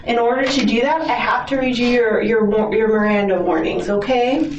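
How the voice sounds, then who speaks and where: A woman's voice: fairly high pitch, normal speed. An American woman working as a police detective, asking questions in a sympathetic way and often checking answers. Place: police interview room. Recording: distant echoey mic, dull audio, faint noise.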